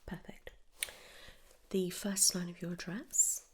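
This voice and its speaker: A British woman whispering softly and gently in an ASMR medical roleplay, reassuring and unhurried, close to the mic. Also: fairly low pitch, little pitch movement. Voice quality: breathy whisper and hushed soft voice. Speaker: ASMR performer playing a dental receptionist or dentist.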